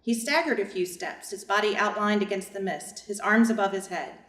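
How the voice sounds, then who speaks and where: A devoted family servant tells the story, read by a woman in reader's theater. Her voice sits at an average female pitch with scripted storytelling phrasing. The room is noticeably echoey. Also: fairly quick, a bit bright, close to an everyday voice. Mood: earnest storytelling.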